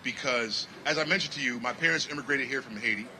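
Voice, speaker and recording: Animated musician, bright voice. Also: male voice, mid-range voice, quick pace, slight background noise, low-quality recording. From Connecticut, United States. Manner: animated, preachy, repeats "you know what I mean". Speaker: jazz musician guest. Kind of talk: casual outdoor festival interview.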